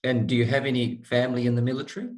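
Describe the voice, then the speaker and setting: A man's voice: mid-range voice, level tone, rapid-fire, a little mellow. A man interviewing a guest, asking friendly, conversational questions.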